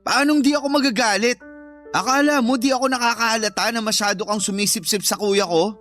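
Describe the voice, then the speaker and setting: A sulky, jealous young Filipino father in a radio drama. He sounds whiny and accusing, with soft music behind him. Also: high voice, a little fast.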